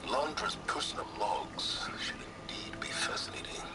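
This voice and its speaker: An actor playing a cool, cultured older schemer in a video game, speaking formally with dry approval. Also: male voice, normal speed, in a whisper, close to an everyday voice. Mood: cool, intrigued. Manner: hushed, formal, dryly approving.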